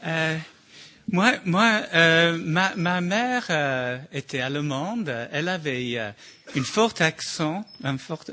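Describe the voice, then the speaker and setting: A man with a slight accent giving a halting, informal sermon reflection with many restarts. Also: a little high, expressive, sharp tone. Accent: slight foreign accent in French. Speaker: church member sharing a reflection.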